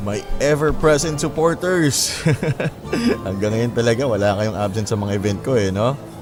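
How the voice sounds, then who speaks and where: A man's voice: medium pitch, a little slow, close to an everyday voice. A man playing a confident, friendly success in a radio drama, teasing in an easygoing way with bits of English. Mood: friendly, pleased. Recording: music bed under the voice.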